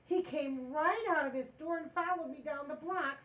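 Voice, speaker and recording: A woman playing a scheming, excitable housewife with dry wit, wisecracking theatrically in an old-time radio sitcom. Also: high voice, normal speed. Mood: wry.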